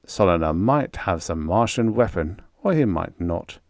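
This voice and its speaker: A man reading a story aloud as an audiobook narrator, in a measured, expressive literary manner. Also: low voice, dry recording.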